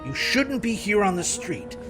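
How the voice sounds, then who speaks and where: A man's voice: high voice, very animated, a little slow. A man playing an urgent, earnest homicide inspector in 1930s radio drama style. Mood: urgent warning. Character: male homicide police inspector, urgent, hard-boiled, earnest. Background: music.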